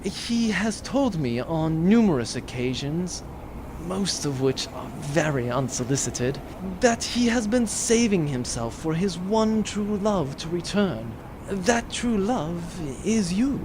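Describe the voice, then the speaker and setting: An actor playing a formal, level-headed servant in an audio drama, with measured, pedantic delivery. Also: male voice, sits high, lively intonation, background noise. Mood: polite, deferential.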